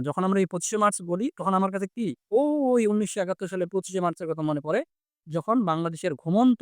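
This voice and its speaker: Male Bangladeshi Bengali teacher, slightly high voice, dry close sound, explains conversationally and quizzes with repeated questions. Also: no room sound. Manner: conversational, repeats phrases and asks check questions. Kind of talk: interactive vocabulary lesson, explaining and quizzing.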